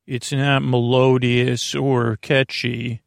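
A man hosting a sleep podcast in a rambling bedtime monologue, with a creaky voice and a hesitant, lulling, meandering manner. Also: medium pitch, flat delivery, slow, dry recording, studio quality.

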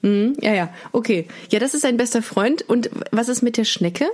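A young woman with a fairly high voice hosts a relaxed podcast chat. She talks informally, asking curious questions and reacting to her guest as she goes. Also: moderate pace. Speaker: podcast host. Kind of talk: casual chat, interviewing a guest.

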